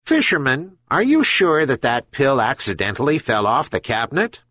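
A man voicing a wise talking herring gull in a storybook, speaking slowly and solemnly. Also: medium pitch, sing-song, sharp tone, no room sound, studio quality. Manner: slow, solemn counsel.

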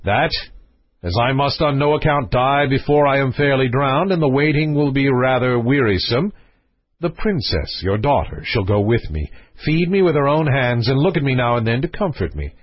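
A man voicing a calm young prince from a storybook, speaking with cool, polite nonchalance. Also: mid-range voice, normal speed, slightly rough, no echo, dull audio. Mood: politely firm. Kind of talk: storybook character voice.

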